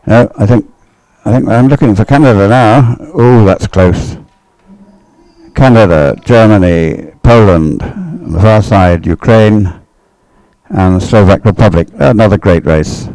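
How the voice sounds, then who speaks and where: A man with a fairly low voice commentates live on a race. He muses aloud in hesitant asides as he follows the field, and the sound is dry and close. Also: unhurried, mellow, no room sound, rough recording.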